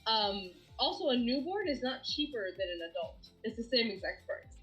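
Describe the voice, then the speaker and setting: A bright, sharp-toned woman from Bangladesh chatting as an interview guest. She sits a touch high in pitch and talks in an animated, giggly way. Faint music plays underneath. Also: moderate pace, rough recording. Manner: giggly, animated, laughs often. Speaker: streamer guest. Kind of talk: casual interview chat.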